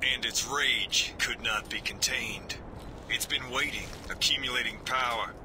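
An actor playing a grave, guarded soldier-priest in a video game, explaining in a solemn, earnest way. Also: male voice, medium pitch, a little slow, background noise, lightly acted. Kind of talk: video game cutscene acting.

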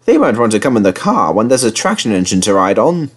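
A man playing a genial, good-humoured country vicar in a storybook, with a bright, kindly manner. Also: fairly low pitch, big pitch swings, quick pace. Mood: pleased.

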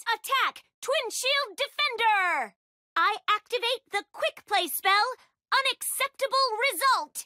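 Bubbly young female anime duelist, perky and theatrical, very high and bright, for a card game. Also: no echo, studio quality, exaggerated voice. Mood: confident, excited.

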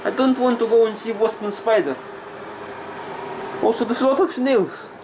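A man's voice: extremely high pitch, moderate pace. Man putting on comedic Slavic persona, young, Russian accent, broken English, foul-mouthed, casual vlog rambling, noisy handheld camera sound. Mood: rambling, amused. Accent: put-on Russian. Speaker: vlogger. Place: small home kitchen indoors. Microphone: handheld camera at arm's length.

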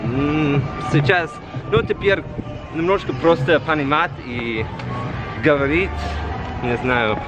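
A man's voice: on the high side, dull audio. Young american guy, english native, stumbling through russian, music playing behind. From United States. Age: young adult. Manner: halting, searching for words. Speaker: guest, language learner. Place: city street outdoors, then a restaurant.

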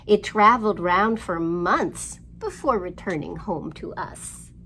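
Slow-talking middle-aged woman, slightly creaky storytime voice. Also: on the low side, a little hiss or hum. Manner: slow, expressive, sing-song delivery addressed to small children. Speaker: children's library storytime host. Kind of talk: storytime rhymes and picture book reading for young children. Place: indoors in a library room.

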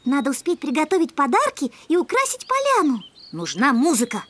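A woman's voice: high voice, huge pitch swings, fairly quick, fairly bright tone, exaggerated voice. A woman voicing a small, curious, friendly creature boy, earnest and childlike, in a children's cartoon. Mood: helpful, eager.

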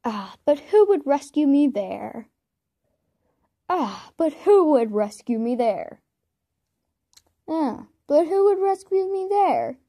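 A woman's voice: high voice, big pitch swings, takes it slowly, long pauses, warm tone, full character voice. A woman voicing a male warrior cat in an amateur cartoon audition. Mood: playful. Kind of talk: amateur voice audition line reading.

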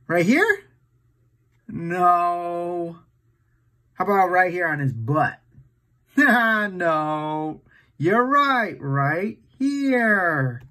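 Male storytime host, very lively pitch swings, unhurried pace, playful read-aloud for little kids. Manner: playful, exaggerated, asks the listener questions. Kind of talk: animated read-aloud picture book storytime for young children.

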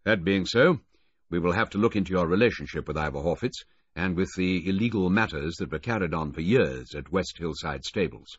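A man's voice: sits low, breathy edge, dry recording. Brigadier, a narrator doing a stern military man. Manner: clipped, formal pronouncements. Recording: dull lo-fi, dry close audio.

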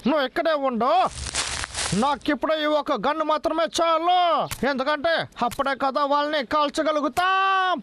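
An actor voicing a scheming, gleeful cartoon villain with a gloating, theatrical delivery, over loud music. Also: high voice, moderate pace, background noise. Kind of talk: animated children's cartoon dub.

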